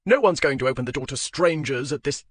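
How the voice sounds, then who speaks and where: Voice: medium pitch, fast, no room sound, lightly acted. Reader doing a coarse drunk husband, blustering, dry close recording. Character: drunken angry young husband.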